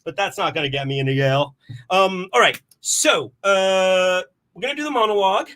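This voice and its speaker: A man's voice: high voice, brisk, sharp tone, a little hiss or hum. A man performing casual rambling comedy in a scatterbrained, theatrical manner. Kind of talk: rambling casual comic livestream.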